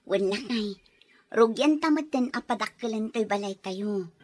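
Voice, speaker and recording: An actress playing an affectionate, encouraging wife in an Ilocano radio drama, with a Filipino accent. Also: female voice, mid-range voice, normal speed, a little mellow, natural voice. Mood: cheerful, encouraging.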